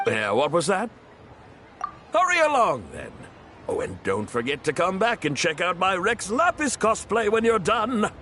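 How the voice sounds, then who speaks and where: A man's voice: sits high, very animated, measured pace, sharp tone, a little hiss or hum, full character voice. A man voicing a chatty, theatrical older townsman in a video game, gossipy and self-satisfied. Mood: preachy. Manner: dramatic, swooping, gossipy. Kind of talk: video game character voice acting.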